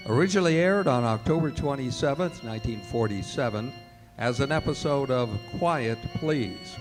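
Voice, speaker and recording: A man works as the announcer for a radio drama, reading a scripted introduction. His mid-pitched voice sits over clear music.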